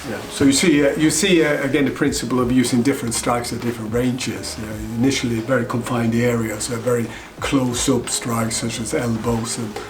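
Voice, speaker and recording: A middle-aged man from Norway, a Krav Maga instructor, commenting on fight scenes in an articulate, measured way with fillers. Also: medium pitch, fairly even intonation, noisy background. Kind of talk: reacting to film fight scenes.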